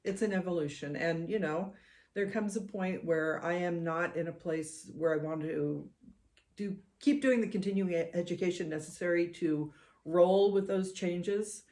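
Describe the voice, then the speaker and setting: A middle-aged woman co-hosting a podcast in casual chat, with a soothing, measured way of talking. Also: fairly low pitch, darkish tone. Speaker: podcast co-host.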